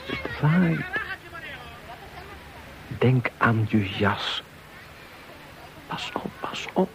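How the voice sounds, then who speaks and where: A man in a radio play speaking a slow, brooding inner monologue. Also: mid-range voice, animated, a crowd in the background, rough recording. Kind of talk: 1970s-style Dutch radio drama monologue.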